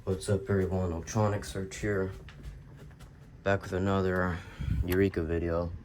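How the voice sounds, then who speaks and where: A young man with a cold, his voice deep and raspy, rambling casually as he films a tour of his home basement. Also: little pitch movement, moderate pace, a little mellow, slight background noise. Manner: rambling, repeats and restarts as he shows things. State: sick, coughing. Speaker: vlogger.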